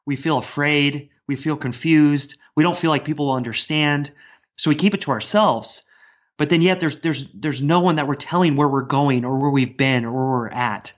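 A man's voice: on the high side, normal speed. A man speaking earnestly and discursively as a guest author in a casual podcast conversation. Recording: dull, lo-fi sound.

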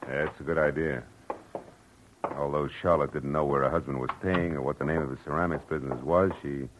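An actor playing a hard-boiled, wry private detective, clipped and dry, in 1940s radio drama style on a dull old recording. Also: male voice, very deep voice, fairly even intonation, a little fast, noisy background. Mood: probing, dry. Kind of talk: 1940s radio acting with noir narration.

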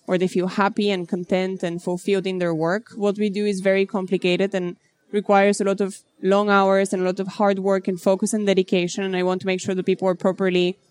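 A woman from Bulgaria in her thirties, a startup founder answering interview questions in a measured, businesslike way. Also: medium pitch, level tone, a little mellow, clean tone.

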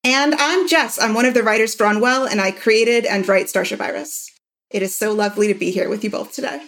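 A woman's voice: mid-range voice, a little fast, fairly bright tone. A woman hosting a podcast, introducing interview guests in a conversational, prepared way. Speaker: podcast host and writer.

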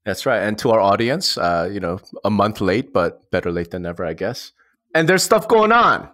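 A man's voice: fairly low pitch, very animated, moderate pace, fairly bright tone. A man co-hosting a podcast in a casual, slangy and joking way. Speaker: podcast co-host.

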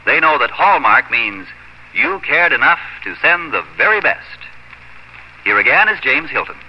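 A man's voice: mid-range voice, sing-song, normal speed, slight background noise. A man announcing a 1950s radio show and reading its sponsor messages in a scripted style. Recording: muffled old radio recording, music behind.

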